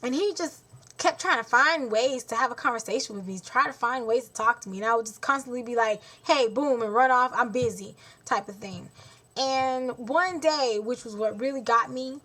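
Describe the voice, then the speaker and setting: A woman's voice: on the high side, normal speed, sharp tone. A woman in her twenties telling a story to camera in a blunt, exasperated way, acting out what others said to her. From Haitian heritage. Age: 20s. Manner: blunt, exasperated, talks with her hands in quotes. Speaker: vlogger. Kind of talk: casual storytelling vlog.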